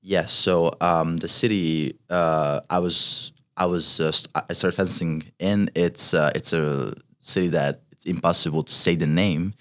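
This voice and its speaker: A man's voice: low voice, steady tone, a little slow, hardly any pauses, fairly bright tone, clean tone. A young man in his twenties from Hungary with a Hungarian accent, a college fencer talking as a guest, hesitant with many fillers and restarts. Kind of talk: casual interview chat.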